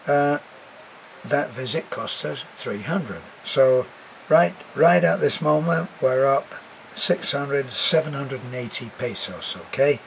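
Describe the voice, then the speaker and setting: A man's voice: mid-range voice, slow pace, vocal fry, a little hiss or hum, low-quality recording. An older British man with a cough and a congested voice, chatting casually to camera as an expat vlogger, rambling with many fillers and restarts. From Britain.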